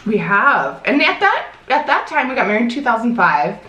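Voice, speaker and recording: A woman in her late thirties chatting casually as a guest, telling stories about her life in an animated way. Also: fairly high pitch, moderate pace. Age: 30s. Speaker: guest, fashion YouTuber. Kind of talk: casual chat over a meal. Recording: echoey room, faint background noise.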